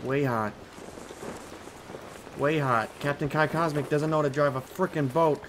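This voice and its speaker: A man with a put-on British accent, giving casual, excitable commentary over his own gameplay and swearing as he reacts. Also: a little high, measured pace, background noise. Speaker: gaming channel host.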